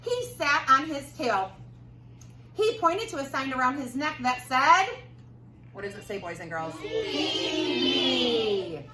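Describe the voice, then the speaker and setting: A woman reads a children's story like a teacher to her class, with big swoops of pitch and unhurried pacing. She draws the listeners in with prompts, and her voice comes from a distance in a noisy room. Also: reverberant. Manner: animated, prompting the children to answer. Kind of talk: expressive storybook read-aloud. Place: classroom, recorded from some distance.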